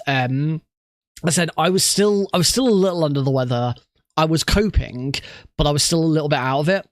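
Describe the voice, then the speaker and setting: A man's voice: fairly high pitch, no echo, studio quality. A man with a cough and a congested nose, chatting casually to stream viewers in a rambling way with many restarts. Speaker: streamer and marathon organiser.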